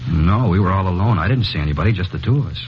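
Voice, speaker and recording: A quiet, troubled young confessor. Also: male voice, deep voice, expressive, rapid-fire, a little hiss or hum. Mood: flat. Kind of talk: 1950s radio drama acting. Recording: dull old radio broadcast.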